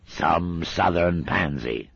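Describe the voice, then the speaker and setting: A man's voice: sits low, slow, fairly bright tone, no echo, lo-fi sound, pitched above the performer's own voice, exaggerated voice. A man doing a growling old sergeant. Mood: accusing. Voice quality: gravelly, growling. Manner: fierce and scolding. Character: cantankerous old Scottish witchfinder sergeant.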